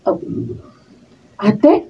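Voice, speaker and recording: A kindly shopkeeper mother talks slowly and gently, with sympathetic exclamations. It is radio drama acting, heard through a slightly muffled mic. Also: recorded from a distance, a little hiss or hum. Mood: warmly surprised. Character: kind, devout, forgiving mother and shopkeeper. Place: radio drama recording session.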